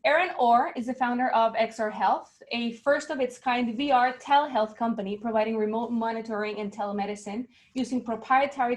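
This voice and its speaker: A woman moderating an online event, friendly and with frequent fillers. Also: a little high.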